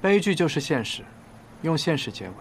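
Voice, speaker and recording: A man's voice: a little high, sing-song, brisk, a little hiss or hum. A man playing an aloof, guarded, pessimistic young novelist in a modern romantic drama, speaking in a cool, detached way. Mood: somber, resigned. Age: young adult. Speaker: actor in a television drama.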